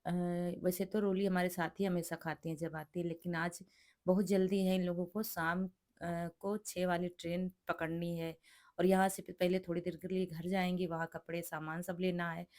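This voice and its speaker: A middle-aged woman with a hoarse, cracked voice from a sore throat, chatting through a home cooking vlog and explaining each step. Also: lowish voice, level tone, moderate pace, a little mellow. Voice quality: cracked, rough voice. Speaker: vlogger cooking for guests. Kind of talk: casual cooking vlog narration.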